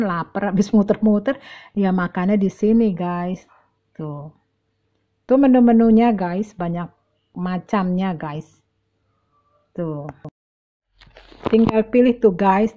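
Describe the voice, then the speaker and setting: Chatty woman vlogger, dull lo-fi sound. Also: lowish voice. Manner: chatty, addressing viewers directly, repeating words. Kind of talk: casual walk-and-talk shopping vlog. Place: large indoor shopping mall.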